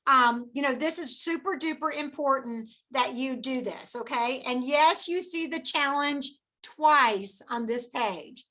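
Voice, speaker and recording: A woman hosting a team meeting, cheering her sales team on with quick encouragement. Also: high-pitched, sharp tone. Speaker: meeting host.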